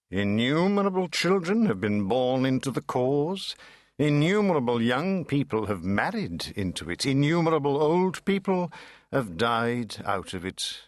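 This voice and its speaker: A man reading a classic novel as dramatic narration, with theatrical, deliberate phrasing. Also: mid-range voice, no room sound. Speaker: audiobook narrator.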